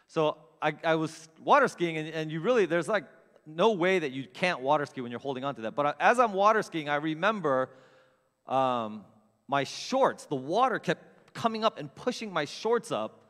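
A middle-aged man preaching a sermon, telling funny stories about himself with big swings in loudness. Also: on the high side, moderate pace, in a large hall. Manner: animated, self-mocking storyteller with big swings in loudness. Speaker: pastor. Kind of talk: storytelling sermon. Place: church sanctuary with long reverb.

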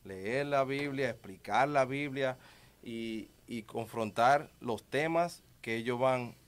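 A man's voice: medium pitch, takes it slowly. A man from the Dominican Republic with a Dominican Spanish accent, speaking conversationally and emphatically about religion, repeating key words for stress.

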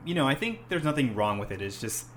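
A man's voice: medium pitch, big pitch swings, fast, slight background noise. A young man hosting a casual chat, rambling with many "um"s and "you know"s. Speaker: host, English teacher.

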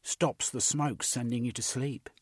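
A man voicing a blunt, rough-spoken young woman in an audiobook, with a husky, raised voice. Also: medium pitch, steady tone, a little slow, no echo, clean studio sound, pitched above the performer's own voice.

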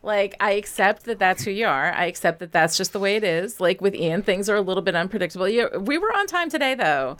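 A woman's voice: mid-range voice, animated, brisk, few pauses, fairly bright tone. A woman who podcasts and coaches writers, chatting casually and candidly, with plenty of informal asides. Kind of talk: casual chat.

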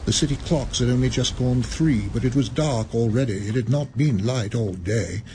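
Measured male radio play narrator. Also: mid-range voice, darkish tone, a little hiss or hum. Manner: measured, relishing the words. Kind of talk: scripted dramatic narration.

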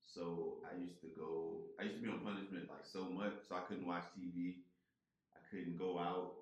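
A young man co-hosting a podcast, telling stories in a lively, laughing way. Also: deep voice, normal speed. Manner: animated storyteller, laughs often. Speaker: podcast co-host. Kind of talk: casual chat. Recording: very echoey room.

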